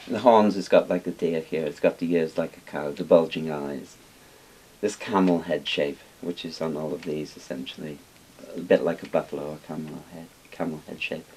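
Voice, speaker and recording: Older man, from Wales, very low voice, discursive casual explanation, faint hiss. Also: moderate pace, mellow, low-quality recording. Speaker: interviewed expert on Chinese dragons. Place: small book-lined room indoors. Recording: hissy background noise.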